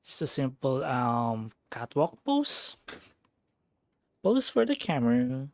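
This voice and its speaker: A man's voice: medium pitch, huge pitch swings, takes it slowly, creaky, no echo. A man chatting casually on a game livestream, musing aloud to himself as he plays. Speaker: game streamer. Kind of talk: casual livestream gameplay chat.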